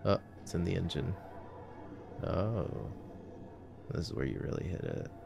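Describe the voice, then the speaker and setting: A man doing an ASMR boyfriend roleplay, murmuring laid-back, casual movie commentary with long pauses. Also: sits low, sing-song, gravelly. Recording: faint background noise.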